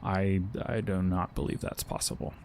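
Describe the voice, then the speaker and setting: Man acting solemn anxious spirit, low voice, hissy. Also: level tone, a little mellow. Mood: mournful, apologetic. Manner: formal, halting, stilted wording. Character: Fear, solemn, anxious male emotion spirit, self-sacrificing protector. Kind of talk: audio drama acting.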